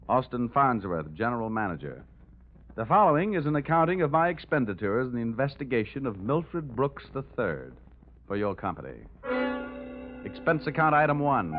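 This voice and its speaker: An American actor playing a wry, wisecracking insurance investigator in an old-time radio detective drama. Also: male voice, lowish voice. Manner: wry, breezy, sardonic. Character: cocky wisecracking male insurance investigator, rugged and unflappable. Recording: tinny vintage radio recording, faint music.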